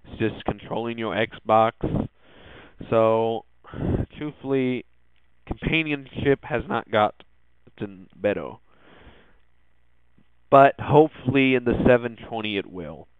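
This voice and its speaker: A man hosting a podcast with casual tech news commentary, speaking haltingly and often restarting his words. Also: medium pitch, clear, resonant tone, no room sound. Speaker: podcast host. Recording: thin phone-like lo-fi audio.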